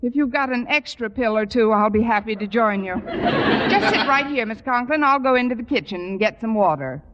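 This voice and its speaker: An actress playing a quick-witted, wry woman schoolteacher who covers up mischief with placating talk, in 1950s radio comedy style. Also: fairly high pitch, lively intonation, a loud crowd, natural voice. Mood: wry, soothing. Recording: noisy muffled old radio recording, studio audience.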